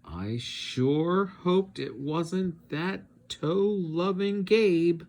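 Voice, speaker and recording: A man reading a children's storybook aloud in a dramatic, expressive, suspenseful way. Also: high-pitched, very slow, vocal fry. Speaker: read-aloud teacher narrating.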